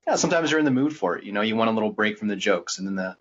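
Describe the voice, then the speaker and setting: A man with a slightly low voice talks quickly in casual podcast chat, rambling and stopping to restart. The audio is a little dull and lo-fi. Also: animated, a little hiss or hum. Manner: halting, rambling, hedging. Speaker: podcast co-host.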